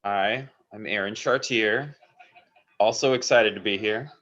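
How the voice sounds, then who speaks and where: A man with a lowish voice talks casually and slowly. He is on a video call, which makes the sound dull and lo-fi. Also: fairly bright tone. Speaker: actor at online table read. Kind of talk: casual chat.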